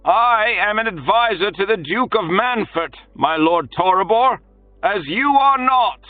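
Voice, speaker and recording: A sharply pitched, commanding noblewoman played by a man who scolds everyone around her. Her voice swoops high and low. The sound is thin and phone-like, as if heard from across a room. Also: animated, a little slow, no echo, soft background music. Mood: scornful. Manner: haughty, scolding. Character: imperious older woman, court advisor.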